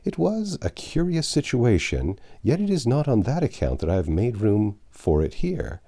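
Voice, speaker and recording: Expressive male narrator with creaky, mellow voice. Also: mid-range voice, moderate pace. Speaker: audiobook narrator. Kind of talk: expressive literary reading aloud.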